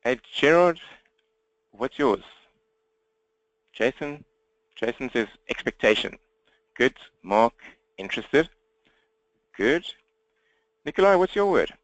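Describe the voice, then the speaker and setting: A man from South Africa with a South African accent and a slightly croaky throat, presenting an interactive webinar training while standing at his computer, fast, direct and upbeat. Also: mid-range voice, sing-song, dry recording, muffled recording.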